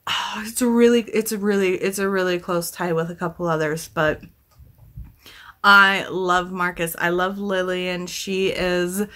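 A woman's voice: lowish voice, fairly even intonation, measured pace, fairly bright tone. A woman in her thirties chatting casually to camera as a book vlogger, rambling and correcting herself as she thinks aloud. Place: small room at home, indoors.

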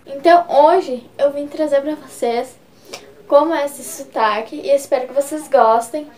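Chatty high-voiced gaúcha vlogger. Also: female voice, measured pace, a little mellow. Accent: Gaúcho Brazilian Portuguese. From Rio Grande do Sul, Brazil. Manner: chatty, filler-heavy, gesturing a lot with both hands. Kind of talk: casual vlog explaining regional slang.

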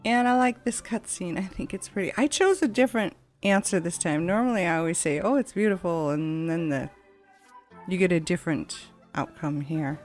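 A woman hosts a gaming video in a distinctly low-pitched voice. Her commentary is casual and conversational, wandering from one thought to the next. Faint game music plays underneath her. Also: moderate pace, darkish tone. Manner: chatty, rambling, conversational. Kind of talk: casual let's play commentary. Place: at home, window open a crack, fan running.

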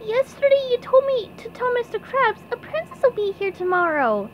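A woman voicing an eager, naive young male cartoon sponge for a storybook, squeaky and excitable. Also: moderate pace, lo-fi sound, slight background noise. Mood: anxious, puzzled. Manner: excitable, pleading, sputtering when flustered.

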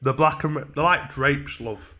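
A man in his twenties with a Northern English accent, talking casually and mock-earnestly as a deadpan, hesitant prank caller at a desk microphone. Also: a little high, moderate pace. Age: 20s. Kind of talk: casual prank phone call. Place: small room at home. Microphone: desk microphone on a stand.

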